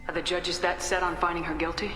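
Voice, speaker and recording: Low-voiced, brisk space commander. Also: female voice, flat delivery, sharp tone. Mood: businesslike. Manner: direct, inquiring, controlled. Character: calm, direct female space soldier commander. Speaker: military commander. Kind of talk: video game dialogue acting. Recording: echoey hall, music behind.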